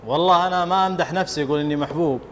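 A man's voice: fairly high pitch, rapid-fire, fairly bright tone, slight background noise. A young Saudi man competing on a TV show, modestly answering a judge and thanking him, on a lapel mic in a studio. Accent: Saudi dialect. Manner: modest, keeps blessing and thanking. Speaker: contestant. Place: TV studio set.

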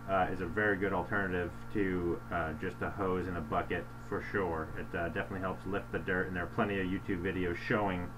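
A man giving a casual how-to voice-over as he presents a product comparison. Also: low voice. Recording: voice-over with clear hum and noise.

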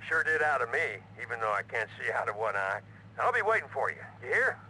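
Boozy redneck on a phone call, super sharp and forceful, lively swings, faint hum. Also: male voice, medium pitch, a little fast. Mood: pushy, jovial. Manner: folksy, pushy, rambling. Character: gruff, boozy middle-aged redneck veteran moonshiner on the phone. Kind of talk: video game cutscene acting.

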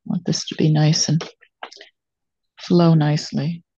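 An older woman teaching watercolor, thinking aloud as she demonstrates and pausing between phrases while she works. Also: low-pitched, little pitch movement, mellow, breathy edge, dry recording. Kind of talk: real-time demonstration narration, thinking aloud. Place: indoors at a painting table. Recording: dull, muffled lo-fi sound.

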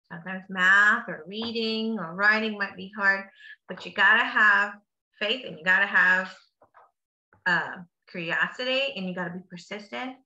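A woman reading a picture book aloud to children in a teacherly, encouraging way, with drawn-out emphasis and simple explanatory asides. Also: mid-range voice, bright, forceful tone. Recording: roomy echo, slightly dull sound.

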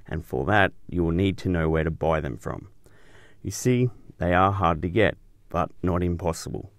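A man's voice: low voice, no room sound, clean studio sound. A man hosting and narrating a scripted explainer show.

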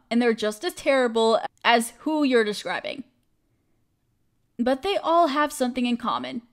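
A woman acts out a protective, caring female best friend with a high voice. She speaks directly and intimately to one listener, as in a comfort roleplay. Her delivery is earnest and reassuring. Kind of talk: audio roleplay, scripted comforting monologue.

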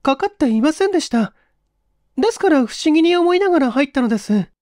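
A woman playing an anxious, high-pitched young woman suspect. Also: expressive, fast, darkish tone, no echo, clean studio sound. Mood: anxious, pleading. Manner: polite, feminine, hesitant old-fashioned phrasing.

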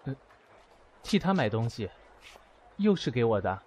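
A man's voice: medium pitch, big pitch swings, a little slow, a little mellow, breathy edge. Man acting a curt, proud male teacher. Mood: annoyed, insistent. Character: proud, wounded male math teacher rejecting his former lover. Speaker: voice actor in an amateur audio drama. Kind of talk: acted audio drama dialogue. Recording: music behind the voice.

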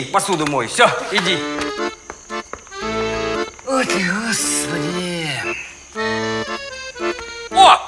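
High-pitched, bossy old cartoon husband. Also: male voice, exaggerated voice. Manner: theatrical, scolding, colloquial. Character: bossy, scheming, excitable old village husband. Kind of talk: animated comedy voice acting. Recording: music under the voice.

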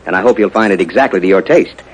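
A man with an American accent, a polished, formal 1950s radio announcer delivering sponsor pitches. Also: fast talker, slightly rough, poor audio. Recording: vintage 1950s radio broadcast.

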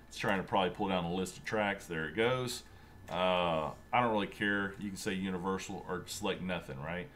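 Thirties male host, low register, easygoing filler-heavy walkthrough at his home desk. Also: slight background noise. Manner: relaxed, chatty, many fillers, self-described monotone. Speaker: sim racing channel host. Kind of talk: casual screen-share tutorial. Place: home room with a racing rig.

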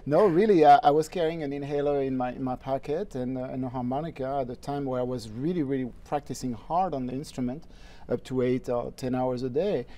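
A man's voice: mid-range voice, a little fast. A man from France with a French accent, chatting easily as a guest in a TV studio interview. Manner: relaxed, easygoing, laughs often. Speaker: guest musician. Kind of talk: casual interview chat. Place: TV studio set.

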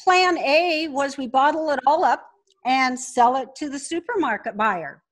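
Older lady, high voice, wry and plain spoken, chatting on a podcast. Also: unhurried. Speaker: podcast guest, brand co-founder. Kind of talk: conversational storytelling interview.

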